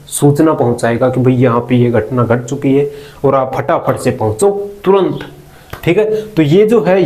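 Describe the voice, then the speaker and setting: A man's voice: medium pitch, warm tone. A man giving an informal explainer lesson, conversational, often posing questions and answering them himself with frequent check-in tags. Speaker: presenter of a job-recruitment explainer channel.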